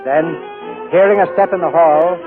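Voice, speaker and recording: A man presenting and narrating in polished, measured 1940s broadcast style, his voice fairly high. The sound is dry and tinny, with faint music underneath. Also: fairly even intonation. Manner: smooth, measured, theatrical. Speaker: announcer and narrator. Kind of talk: polished 1940s radio announcing.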